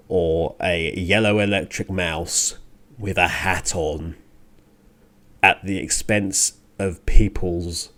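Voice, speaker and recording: A man hosting a radio show, talking in an earnest, emphatic monologue with deliberate pauses and repeated phrases. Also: deep voice. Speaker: radio show and podcast host. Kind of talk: spoken opinion monologue on a music radio show.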